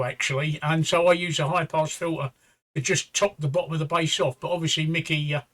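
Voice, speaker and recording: A bright, high-pitched man hosts a loose chat show, rambling and tripping over his words as he jokes around. His voice is light and clear, and he talks from a pub. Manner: joking, rambling, stumbles on words. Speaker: podcast host. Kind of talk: casual banter.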